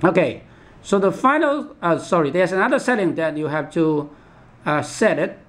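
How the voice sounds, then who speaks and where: A man giving an unscripted step-by-step product demonstration in a halting, repetitive instructional manner. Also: fairly high pitch, very animated, fairly bright tone. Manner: halting, repetitive, instructional, with imperfect English grammar.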